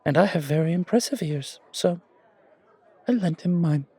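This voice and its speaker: A man voicing a refined, gracious and quietly enigmatic confidant in an audio roleplay, with polished, deliberate, theatrical phrasing. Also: fairly high pitch, lively intonation, slightly rough, dry recording, a faint crowd. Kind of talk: fan audio roleplay acting.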